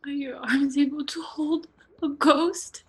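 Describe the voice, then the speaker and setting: A woman acts out a frail, delirious young woman in a stage drama. Her high voice moves slowly through broken, mournful phrases, as if haunted by grief. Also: steady tone. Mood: fragile, haunted. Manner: slow, fragmented, mournful delivery. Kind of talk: dramatic virtual play reading.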